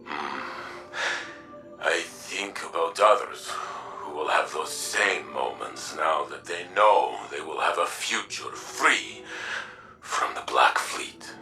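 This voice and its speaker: A man's voice: very deep voice, expressive, full character voice. A man playing a sentimental warrior in love in a video game, nostalgic and tender, slightly distant over music. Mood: hopeful, loving. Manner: slow, warmly nostalgic, halting syntax. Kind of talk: video game character dialogue.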